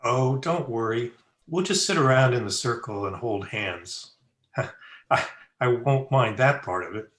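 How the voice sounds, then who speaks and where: A man's voice: mid-range voice, unhurried, a bit bright, close to an everyday voice. An actor playing a wry young man who reassures his sweetheart with folksy wisecracks in an old-time radio drama. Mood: reassuring, wry. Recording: roomy echo with party chatter.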